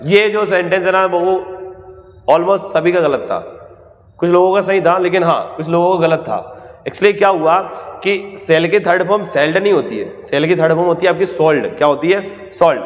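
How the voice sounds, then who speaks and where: A man's voice: high-pitched, normal speed. An Indian man teaching grammar in a classroom style, asking questions and answering them himself in a didactic way. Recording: echoey room, dull lo-fi sound.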